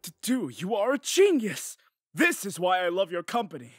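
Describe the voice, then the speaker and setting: Comedy dub prince, male actor: hot-headed, earnest, high animated voice over music. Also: moderate pace. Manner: theatrical, foul-mouthed outbursts, earnest pleading. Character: excitable young prince, hot-tempered but devoted friend. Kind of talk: comedic parody dub acting.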